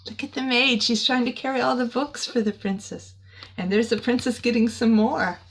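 A woman's voice: on the high side, fairly even intonation, normal speed, rough recording. A middle-aged woman reads a children's picture book aloud expressively, with casual asides to young listeners, in a small room.

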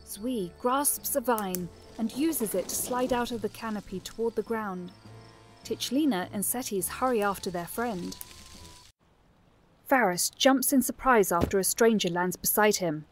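A woman narrating a fantasy audio drama as a scripted story, in a measured, descriptive manner. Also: medium pitch, soft background music, lightly acted. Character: female narrator of a fantasy adventure.

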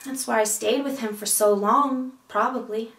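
A woman playing a neurotic, melodramatic single woman in a comedic stage monologue, with deliberate dramatic pauses. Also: fairly high pitch, fairly even intonation, fairly bright tone. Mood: anxious, exasperated. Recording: echoey room, distant mic.

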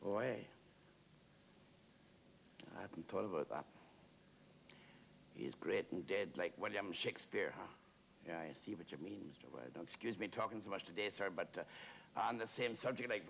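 Man playing rambling, naive prison warder, gravelly deep voice. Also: normal speed, pauses often, a bit bright, slight background noise. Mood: eager, chatty. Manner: rambling, hesitant, repetitive, with deferential address. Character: naive, talkative, deferential working-class prison warder, eager reader. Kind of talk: theatrical one-man stage monologue.

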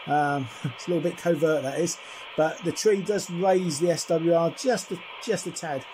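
A man chatting casually and at some length as he demonstrates a CB radio, in a friendly, slightly husky voice. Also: on the high side, steady tone, moderate pace, darkish tone, slight background noise, rough recording. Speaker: CB radio reviewer and operator. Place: indoors at a home radio desk.

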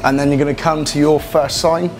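A man's voice: fairly high pitch, level tone, brisk. Upbeat male trainer in his thirties, lightly raised voice, casual tour patter over a music bed. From England. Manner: upbeat, chatty, many fillers. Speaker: personal trainer. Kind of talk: walking gym tour to camera. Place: large gym hall and corridors, indoors. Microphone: camera mic, handheld camera following. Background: fans and building work.

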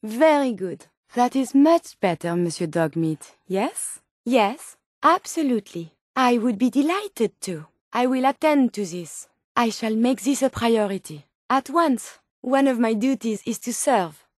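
A woman's voice: fairly high pitch, animated, unhurried, hardly any pauses, a little mellow, no echo, studio quality. A woman voicing a polite, curious female robot scientist in a video game, with a French accent and formal, precise, clinical phrasing. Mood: helpful, eager. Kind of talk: video game companion dialogue lines.

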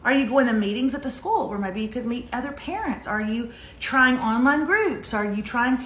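Middle-aged American woman, bright forceful voice, emphatic comic pep-talk delivery, echoey kitchen. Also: fairly high pitch, lively intonation, normal speed, slight background noise. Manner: emphatic, dramatic, sarcastic humor. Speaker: online show host and relationship coach. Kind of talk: motivational storytelling to camera. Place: home kitchen, indoors. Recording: roomy, echoey kitchen sound.

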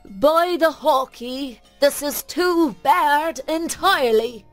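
A woman voicing a menacing, boastful male dragon in a children's story, loud and drawn-out in delivery. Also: high-pitched, no room sound, soft background music, voice pushed up. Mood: indignant, threatening.

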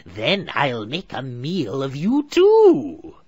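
Storybook witch, old, crafty, cruel, high and drawn out; man voicing her. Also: huge pitch swings, darkish tone, breathy edge, no room sound, exaggerated voice. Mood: menacing. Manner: drawn-out, wheedling then shrill and harsh.

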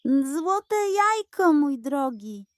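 Woman as an excitable, high-pitched gossipy tomcat. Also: very slow, no echo, studio quality, exaggerated voice. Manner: hissing, snorting, urgent. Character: gossipy, cunning, excitable male farm cat.

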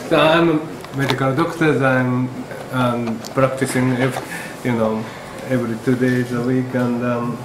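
Slow halting man, echoey room. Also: medium pitch, flat delivery. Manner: halting, with many fillers and restarts. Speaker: medical ethics presenter. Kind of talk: academic discussion in English as a second language. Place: seminar room with a projector screen. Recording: echoey room, background noise.

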